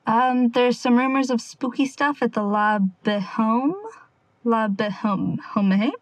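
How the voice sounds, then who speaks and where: A woman playing a wry young amateur investigator in an audio drama, quietly talking through her notes in a rambling, sardonic, confiding way. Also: fairly high pitch, fairly even intonation, slow pace, no echo. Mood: wry, musing. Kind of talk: audio drama monologue, casual confiding log.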